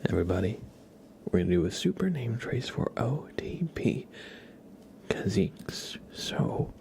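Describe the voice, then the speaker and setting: A man hosting an ASMR livestream in a breathy whisper and soft murmur, gentle, repetitive and unhurried. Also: lowish voice, big pitch swings.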